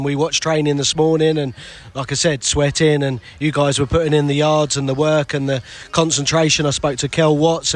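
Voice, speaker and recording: Chatty male radio reporter, poolside. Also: a little high. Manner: chatty, long lead-ins to questions. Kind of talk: casual interview questions. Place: outdoors by a hotel pool.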